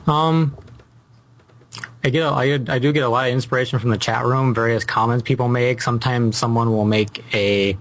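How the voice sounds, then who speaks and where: A man's voice: medium pitch, fairly even intonation, moderate pace, a bit bright, no room sound. A man appearing as a podcast guest, chatting casually in a hesitant, modest way. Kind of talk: casual chat. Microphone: internet call stream.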